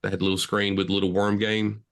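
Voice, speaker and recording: A man's voice: on the low side, little pitch movement, quick pace. A younger man, co-host and EMS instructor, in reflective casual chat with many fillers.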